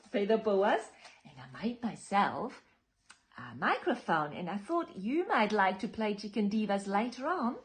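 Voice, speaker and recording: A woman reading a children's picture book aloud in a playful, theatrical way, with dramatic whispers and drawn-out words. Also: mid-range voice. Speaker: children's storytime reader.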